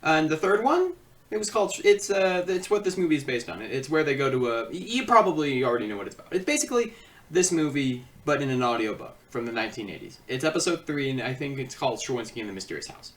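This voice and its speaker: A man hosting a comedic video review, switching between theatrical announcing and halting casual asides. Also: mid-range voice, sing-song, a bit bright, distant mic. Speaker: online film reviewer and host.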